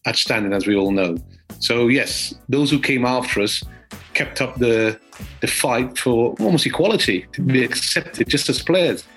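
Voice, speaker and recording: A man's voice: medium pitch, fairly bright tone, rough recording. An older man, a former player, giving a reflective recollection in an interview.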